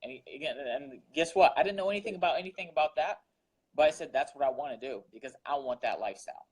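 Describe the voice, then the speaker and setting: A man's voice: fairly high pitch, quick pace, a little hiss or hum. A young man from Russia, a guest entrepreneur, talking earnestly about his daily grind, repeating words and using many fillers. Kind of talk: casual interview talk.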